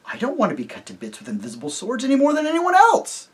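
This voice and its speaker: A brave young girl as a reader puts her on speaks softly and earnestly, reasoning with her companions. The voice stays quiet but moves lively in pitch. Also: quick pace.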